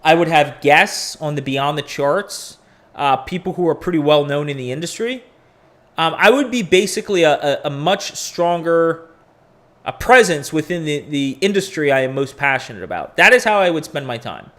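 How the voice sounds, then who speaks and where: A man's voice: a little high, animated, moderate pace, fairly bright tone. A man in his forties hosting a crypto live stream, talking casually and candidly, rambling with profane asides. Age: 40s. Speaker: crypto podcast host.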